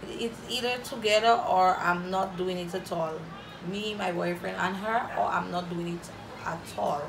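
A woman's voice: fairly low pitch, steady tone, moderate pace, creaky, noisy background. A woman in her twenties from Saint Lucia, with a Saint Lucian accent, chatting playfully to camera as she answers viewer questions in a small room. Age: 20s. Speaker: vlogger. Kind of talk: casual Q&A to camera. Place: small room indoors, plain wall. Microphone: camera in front, head-and-shoulders framing.

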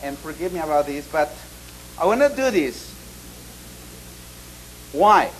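Ecuadorian man, native Spanish speaker, halting English, handheld mic in a hall, slightly raised pitch. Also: sing-song, slight background noise. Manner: halting non-native English, many restarts, gesturing. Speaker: ministry speaker. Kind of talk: animated talk to an audience. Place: hall indoors.

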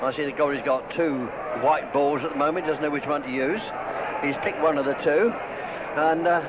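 A man's voice: fairly high pitch, normal speed. Man on a very noisy outside line. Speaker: football commentator. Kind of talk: live match commentary. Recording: very noisy, dull outdoor broadcast line.

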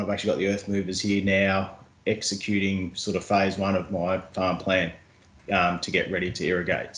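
Male farmer panellist, slightly deep, halting conversational delivery, roomy video-call sound. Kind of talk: casual question-and-answer talk.

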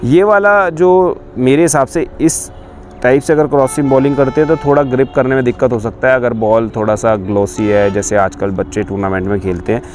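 Guy in his 30s walking you through a bowling grip, outdoors on a lapel mic, mid pitch. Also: steady tone, brisk, a little mellow, soft background music. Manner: explains step by step while showing a ball grip. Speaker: cricket coach. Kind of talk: spoken coaching tutorial. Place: outdoor cricket ground. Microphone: lapel mic on camera facing him.

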